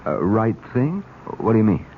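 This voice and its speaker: An actor playing a scheming, smooth-talking gentleman villain in a radio drama, with theatrical delivery. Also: fairly low pitch, very animated, normal speed. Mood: alarmed, uneasy. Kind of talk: 1970s radio drama acting. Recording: tinny, noisy old radio recording.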